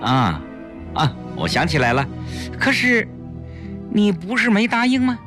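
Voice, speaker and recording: A man's voice: very high-pitched, fairly even intonation, a little slow, over music, voice pushed up. A man voicing a spirited, crafty young wife in a dramatic audiobook, with a theatrical, exclamatory manner. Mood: feigned innocence.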